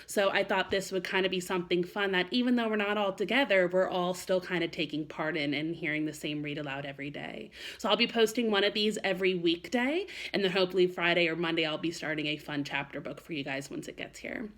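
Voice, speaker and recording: A woman's voice: fairly low pitch, fast, hardly any pauses, a bit bright. A woman first-grade teacher talking reassuringly to young children and reading a picture book aloud. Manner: addresses children directly, reassuring. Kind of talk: read-aloud for young children, chatty address and storybook narration.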